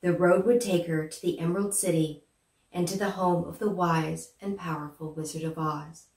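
Gracious good witch, acted by a young woman, children's storybook telling, animated, deliberate, echoey small room, distant mic. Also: lowish voice, close to an everyday voice. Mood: gentle storytelling. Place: small plain room indoors. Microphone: far camera. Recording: roomy, distant microphone.

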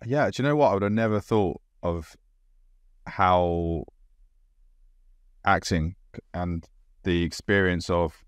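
A man in his forties hosts a podcast over a video call, speaking through an earphone mic in a dry home room. His voice sits very low for a man. He talks in a reflective way, searching for his words as he goes. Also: takes it slowly, pauses often, smooth, no room sound. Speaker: podcast host. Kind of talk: conversational interviewing. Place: dark home room with bookshelves.

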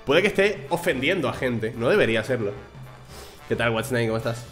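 A man's voice: mid-range voice, animated, fairly quick, fairly bright tone, faint music behind. A man in his thirties from Venezuela with a neutral Spanish accent, casually commenting on a game and joking while reading text aloud. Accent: neutral Spanish, faint Venezuelan. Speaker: gaming streamer. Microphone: headset.

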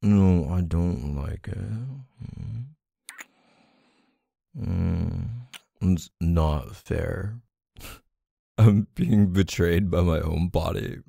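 Man acting as deep, gravelly, teasing boyfriend. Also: no room sound, studio quality, heavily acted. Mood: flustered, teasing. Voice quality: low, gravelly, soft. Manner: teasing, murmuring, hesitant pauses and hums. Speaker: audio roleplay performer. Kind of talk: intimate ASMR boyfriend roleplay.

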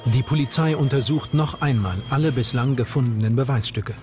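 A man's voice: mid-range voice, a little fast. Male documentary narrator over prominent music. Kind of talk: scripted true-crime documentary narration. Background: background music.

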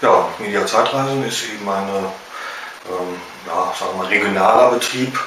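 A man in his fifties with a Ruhr German accent, a small business owner giving a halting trade fair statement full of filler sounds. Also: lowish voice, background noise. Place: indoor room with shelves. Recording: roomy echo from a distance.

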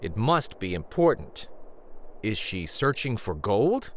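Man reading aloud with very animated pitch. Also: slow pace. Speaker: children's story reader and host. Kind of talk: read-aloud picture book narration.

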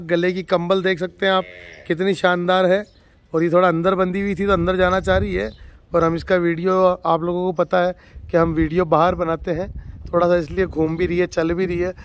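A man from Rajasthan, a dairy farmer, shows a cow for sale in a casual spoken walkthrough, heaping on enthusiastic, repetitive praise from off camera in an outdoor farmyard. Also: sits high. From Rajasthan, India. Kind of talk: casual spoken livestock showcase.

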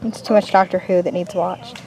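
A woman's voice: on the low side, fairly even intonation, normal speed, dark tone, rough recording. A woman, the host's wife, bantering off-camera in a forest with a teasing, joking manner. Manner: teasing, joking, self-deprecating. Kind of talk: casual banter. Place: outdoors in a forest. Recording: outdoor noise, kids playing behind.

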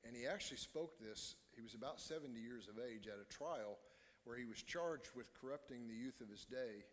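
An echoey hall carries the voice of a middle-aged man preaching. He is a pastor with a medium-pitched voice. He speaks earnestly and deliberately, pausing between phrases. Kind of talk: sermon to a congregation. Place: church stage, indoors. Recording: echoey hall with long reverb.

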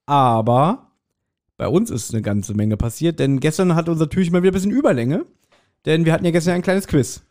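Guy in his 40s doing a relaxed podcast recap, mellow voice, jokey and chatty. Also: on the high side, a little fast. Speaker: podcast co-host. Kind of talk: casual podcast chat recapping an audio drama.

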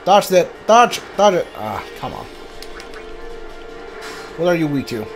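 Musical-backed male gamer thinking aloud, lively pitch. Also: measured pace, over music. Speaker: game streamer. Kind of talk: live gameplay commentary.

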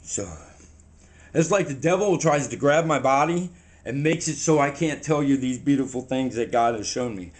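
A man, telling a story to the camera in a rambling, halting way and sounding a little shaky, recorded in a small room on a camera in front of him. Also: mid-range voice. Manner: rambling, halting, animated hand gestures. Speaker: vlogger.

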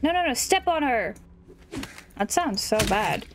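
A woman commentating a horror game, sniffly from allergies and shrieking excitedly at enemies. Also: high voice, unhurried, a little hiss or hum. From South Africa. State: sniffly, stuffy nose from allergies. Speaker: gaming YouTuber. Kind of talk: let's play commentary.

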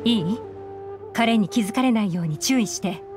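A woman playing a composed, shrewd young female operative in a video game, unhurried and slightly teasing. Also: medium pitch, natural voice. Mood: cool instructing. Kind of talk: Japanese video game voice acting. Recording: game music behind the voice.

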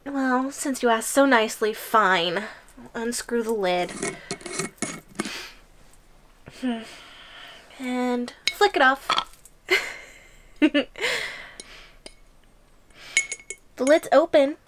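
A woman's voice: on the high side, animated, takes it slowly, pauses often, a bit bright, slight background noise. A woman playing a playful, possessive giantess girlfriend in an audio roleplay, gleefully menacing, teasing and condescending. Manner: teasing, giggly, smug and condescending. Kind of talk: audio roleplay ASMR drama.

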